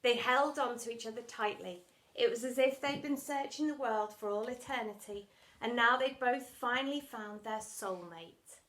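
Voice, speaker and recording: High-voiced woman reading a children's storybook. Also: normal speed, echoey room. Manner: expressive, theatrical delivery with acted sound words. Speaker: teacher reading story aloud. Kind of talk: animated children's storybook narration.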